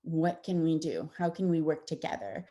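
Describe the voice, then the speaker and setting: Woman audiologist, thirties, low mellow voice, easygoing slide-talk delivery with fillers and restarts. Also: animated, normal speed. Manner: conversational, warm explanations with frequent fillers and restarts. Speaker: audiologist presenting to parents. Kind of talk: informal presentation with slides.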